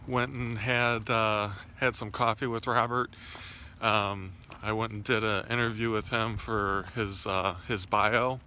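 Bright-voiced man vlogging outdoors. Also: mid-range voice, slight background noise. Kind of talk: casual video diary. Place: outdoors on city residential streets. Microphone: phone at arm's length.

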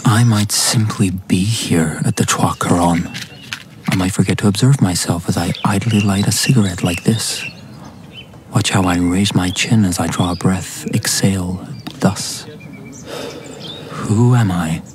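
An actor playing the inner voice of a self-conscious, lovestruck young gentleman in a radio drama, hushed, intimate and poetic. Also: deep voice, unhurried, noisy background. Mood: wistful, musing.

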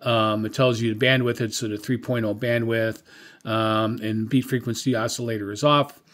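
A man's voice: medium pitch, level tone, normal speed, a bit bright. Conversational middle-aged man, hesitant and rambling. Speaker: radio hobbyist reviewer. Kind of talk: casual product review. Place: indoor room with radio equipment.

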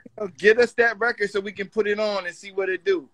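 A man's voice: high voice, steady tone, quick pace, pushed and bright. A man in casual, slangy, encouraging chat, speaking into a phone at arm's length. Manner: informal, slangy. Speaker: radio show curator.

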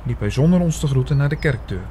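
A man playing an earnest investigator who tells his own story in an audio drama, in a measured way. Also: mid-range voice, lively intonation, mellow, slight background noise, close to an everyday voice. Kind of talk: scripted audio drama narration.

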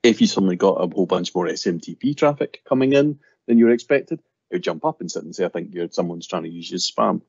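A man's voice: low-pitched, lively intonation, quick pace, vocal fry, a little mellow, husky, no echo, dull audio. A man with a Scottish accent walking through security tooling in a webinar, rambling and repeating his stock phrases. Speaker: security presenter. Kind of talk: technical webinar walkthrough.

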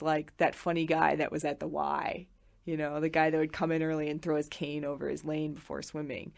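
A woman's voice: low voice, vocal fry. A woman, a podcast guest, fondly and reflectively recalling a family member in casual chat. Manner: fond, reflective. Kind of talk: casual storytelling.

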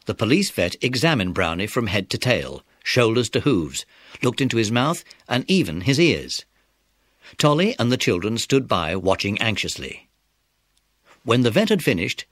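An actor as the narrator of a children's adventure, reading scripted narration in a measured way. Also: male voice, fairly low pitch, very animated, dry recording, studio quality.

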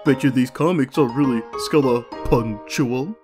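A man voicing a wry, easygoing skeleton big brother in an amateur comic dub, casual and deadpan. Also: medium pitch, normal speed, over music, close to an everyday voice. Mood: wry, relaxed.

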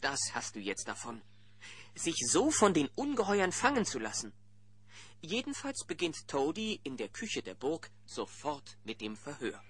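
A man's voice: a little high, wildly swooping pitch, unhurried. A man playing the wry narrator of a children's audio drama, commenting on the characters as he tells it. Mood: wry storytelling. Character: storyteller who comments wryly on the characters.

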